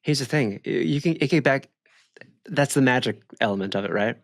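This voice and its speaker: A man's voice: medium pitch, fairly quick, gravelly, dry recording, clean studio sound. A man co-hosting a podcast in casual comedic banter, riffing in a wry, mock-serious way. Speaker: podcast co-host. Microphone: close podcast microphone.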